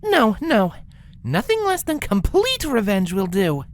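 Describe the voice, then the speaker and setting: A man's voice: sits high, huge pitch swings, measured pace, a little hiss or hum, pitched above the performer's own voice, exaggerated voice. A man voicing a vengeful young female cartoon pony in a storybook reading, sarcastic and muttering to herself. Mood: scornful.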